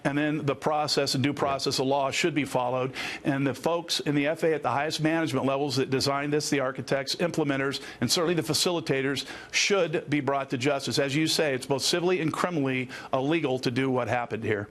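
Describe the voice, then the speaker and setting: A man with a mid-pitched voice gives detailed explanations as a news interview guest. He sounds earnest and uses formal, lawyerly phrasing. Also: fairly even intonation, quick pace, few pauses, fairly bright tone. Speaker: attorney and former air traffic controller, interview guest.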